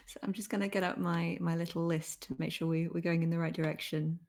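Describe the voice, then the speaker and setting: A woman's voice: fairly low pitch, steady tone, a little fast, darkish tone. A woman interviewer chatting casually, informal and agreeable in her way of talking.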